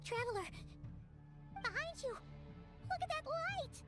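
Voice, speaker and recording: Super high squeaky little game fairy, excitable, bouncing all over, music behind. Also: female voice, takes it slowly, full character voice. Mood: alarmed. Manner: squeaky, exclaiming, dramatic. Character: tiny excitable fairy companion girl, easily flustered.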